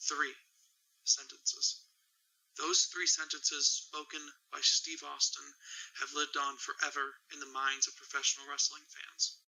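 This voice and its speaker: A man delivering a scripted explanatory monologue in a deliberate, emotionless way. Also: fairly high pitch, bright, forceful tone, poor audio. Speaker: guest wrestling fan explaining promos.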